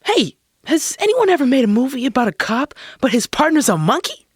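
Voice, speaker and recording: A man acting as a flighty procrastinating writer in sketch comedy. His voice sits very high and swings widely, sounding dithering and distracted. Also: very animated, a little fast, breathy edge, dry recording, clean studio sound, exaggerated voice. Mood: sheepish.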